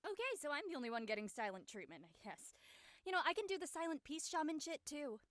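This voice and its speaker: Carefree teen girl acted by a woman, high voice, super animated, playful needling sister. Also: fast talker, no room sound, clean studio sound. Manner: playful, provocative, expressive.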